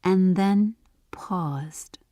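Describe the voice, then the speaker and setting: Slow-paced woman narrating a storybook. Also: on the low side, dark tone, no echo. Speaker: audiobook narrator. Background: music.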